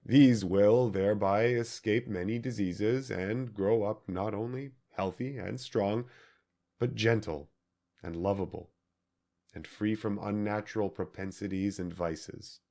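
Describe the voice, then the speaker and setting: A man reading scripted audiobook narration in deliberate, measured phrases with emphatic stresses. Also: lowish voice, steady tone, mellow. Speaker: audiobook reader.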